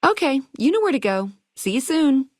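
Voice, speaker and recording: Perky, animated high-voiced hotel keeper. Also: female voice, normal speed, no echo, clean studio sound. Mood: chirpy, welcoming. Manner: chirpy salesmanship, playful old-fashioned slang. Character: perky, eager vault hotel keeper woman, quirky and chatty. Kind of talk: video game dialogue lines.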